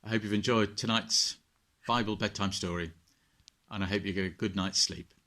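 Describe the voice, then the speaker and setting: Male storyteller, slightly deep voice, vicar reading bedtime tales to children with expression. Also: normal speed. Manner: expressive storytelling, addressing listeners.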